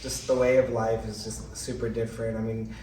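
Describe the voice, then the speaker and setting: A man from California telling his story casually, relaxed and full of fillers. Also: fairly low pitch, brisk. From California, USA. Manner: relaxed, chatty, many "like" and "you know" fillers. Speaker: guest. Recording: roomy, distant mic, faint noise.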